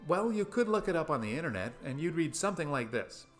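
A man's voice: on the high side, very animated, fast talker, fairly bright tone, faint music behind. A man in his fifties giving a scripted, essay-like talk to camera, asking rhetorical questions with playful emphasis. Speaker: video essayist. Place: small room at home, indoors.

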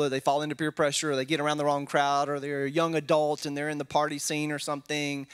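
Texan man, 40s, pastor; easygoing Bible talk on a handheld mic; slightly raised pitch. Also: a bit bright. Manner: casual, tags statements with "right?", thinks aloud with fillers. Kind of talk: conversational Bible teaching. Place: wood-paneled church hall, indoors. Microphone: handheld microphone.

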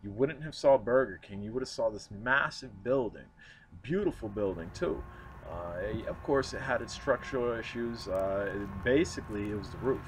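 Man, low-ish voice, earnest vlog narration, outdoor traffic noise, handheld phone mic. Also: animated, moderate pace. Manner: earnest, reflective, many fillers and restarts. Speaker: urban explorer vlogger. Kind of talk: casual walk-and-talk narration. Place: outdoors at a snowy demolition site.

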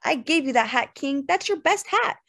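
A woman voicing an excitable, indignant, tipsy wife with a shrill trill, in an audiobook. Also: normal speed. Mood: outraged. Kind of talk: dramatized literary audiobook dialogue.

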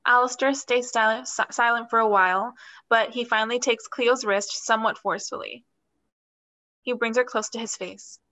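A young woman narrates stage directions for a play reading. Her voice is bright and fairly high, delivering scripted lines over a video call. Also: fairly even intonation, normal speed.

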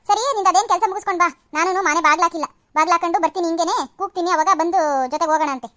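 A woman's voice: very high voice, steady tone, rapid-fire, sharp tone. A woman voicing a rustic village woman visiting a neighbour. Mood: friendly. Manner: calling out, rustic. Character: village woman calling on her friend.

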